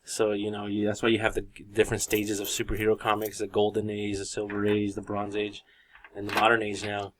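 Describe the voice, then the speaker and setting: A man's voice: fairly low pitch, completely flat delivery, a little fast, slight background noise. A man of about thirty hosting a podcast in casual, informal group chat. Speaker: podcast host.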